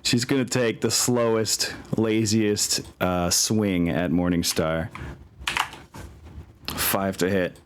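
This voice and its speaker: A man working as game master, narrating a tabletop game and thinking aloud in a deliberate way. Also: lowish voice, fairly even intonation, noisy background. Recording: music behind the voice. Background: background music.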